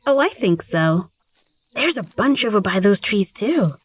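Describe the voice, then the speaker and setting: A teasing young villager. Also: female voice, medium pitch, sing-song, a little slow, slightly rough. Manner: teasing, blunt. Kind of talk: audio drama acting.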